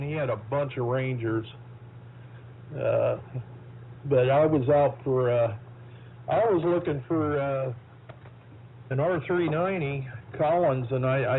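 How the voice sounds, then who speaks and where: A middle-aged or older man talks slowly and haltingly in a casual ham radio chat. His folksy, rambling delivery comes through a narrow, phone-like shortwave transmission with faint static behind it. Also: medium pitch. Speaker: amateur radio operator.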